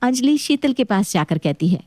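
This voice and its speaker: A woman's voice: a little high, sing-song, moderate pace, mellow, dry recording, studio quality. A woman narrating a scripted domestic tale as storyteller.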